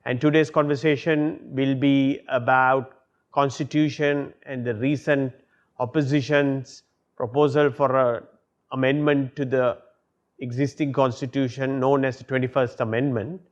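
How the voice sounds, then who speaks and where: A man hosting a policy interview, speaking in a polite, measured way. His voice sits at an ordinary middle pitch, and the audio is a little dull and lo-fi, as on a video call. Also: level tone. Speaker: interview host.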